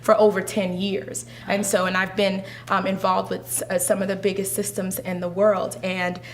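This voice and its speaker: A woman's voice: medium pitch, flat delivery, moderate pace. Woman, pageant guest, rambling chatty delivery, light hum in the track. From Haitian descent. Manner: chatty, many fillers and restarts. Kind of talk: casual interview chat.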